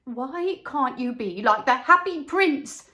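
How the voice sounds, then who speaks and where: Storybook mother, played by a female reader: sensible, chiding, emphatic, pitched up. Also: expressive, slow pace, sharp tone, full character voice. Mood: exasperated. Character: sensible, scolding mother of a little boy. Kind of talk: storybook character voice.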